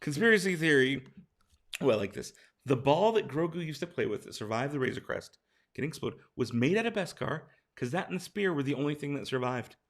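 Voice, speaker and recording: Tired, gravelly-voiced man chatting with his stream. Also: a little high, sing-song, brisk. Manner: chatty, reads out viewer questions and answers them. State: hoarse, tired. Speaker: streamer answering chat. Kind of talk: casual live-stream chat.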